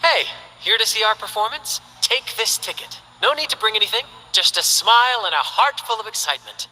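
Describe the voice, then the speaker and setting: A man's voice: sits high, lively intonation, fairly quick, sharp tone, in a large hall, slight background noise, exaggerated voice, rough recording. A man voicing a flamboyant, carefree young performer who talks in a grand, theatrical way. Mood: showy. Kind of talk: game character voice lines.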